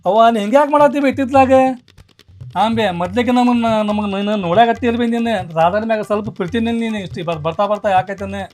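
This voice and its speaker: A man's voice: high voice, quick pace, darkish tone, faint music behind, lightly acted. A young man with a North Karnataka Kannada accent, playing a married son who pleads with his mother on his wife's behalf. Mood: pleading. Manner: pleading and insistent. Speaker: actor. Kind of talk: village family drama acting.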